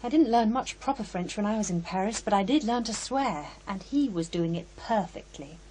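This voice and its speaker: An actress playing a blunt, unflappable investigator in a horror radio drama, matter-of-fact and wry. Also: female voice, mid-range voice, expressive, normal speed, mellow, a little hiss or hum, close to an everyday voice, poor audio. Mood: wry, certain. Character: blunt, unflappable female investigator who translates French.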